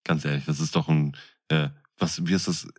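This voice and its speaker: Low-voiced, joking man. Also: little pitch movement, moderate pace. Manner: informal, joking, slangy. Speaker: podcast co-host. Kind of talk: casual comedic chat.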